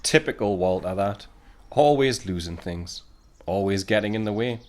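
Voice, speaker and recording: A man's voice: lowish voice, moderate pace, a little hiss or hum, natural voice. A man playing a wistful narrator who looks back reflectively in a scripted audio drama. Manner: reflective storytelling.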